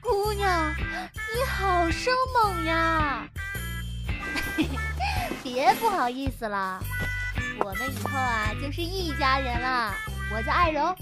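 A bubbly, eager young woman acted by a woman in a radio drama. Her voice is extremely high and swoops around a lot. She takes her time, gushing and wheedling. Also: sing-song, slow, over loud music, heavily acted. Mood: playful. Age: young adult. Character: bubbly, pushy, eager 23-year-old woman job applicant.

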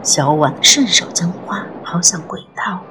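A woman's voice: on the low side, measured pace, in a whisper, low-quality recording. A woman narrating a ghost story as a dramatic audiobook, telling it expressively and with suspense. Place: studio recording with music behind.

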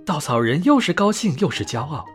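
A man narrating a scripted bedtime story for children in an expressive, clearly phrased way. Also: a little high, brisk. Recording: soft music under narration.

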